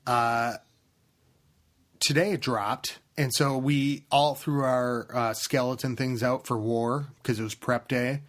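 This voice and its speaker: A man's voice: mid-range voice, steady tone, slow pace, a bit bright. A man co-hosting a casual gaming podcast, rambling and restarting his sentences as he chats. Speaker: podcast co-host. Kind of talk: casual chat.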